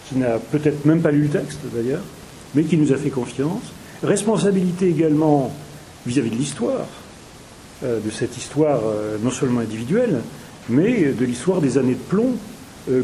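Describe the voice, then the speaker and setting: A man, a playwright, explaining his writing with frequent fillers and pauses. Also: mid-range voice, mellow, slight background noise, poor audio. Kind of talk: discussion of theatre work.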